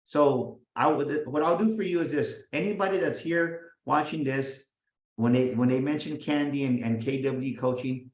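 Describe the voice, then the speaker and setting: A man talking as a guest business coach, energetic and emphatic, often restarting and repeating his words. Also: medium pitch, normal speed. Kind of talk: motivational interview talk. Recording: roomy echo.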